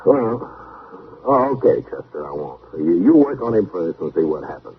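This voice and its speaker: An actor playing a steady, amiable American frontier marshal, measured and dryly amused, in an old-time radio western. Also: male voice, deep voice. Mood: hesitant. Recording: noisy narrow-band vintage radio recording.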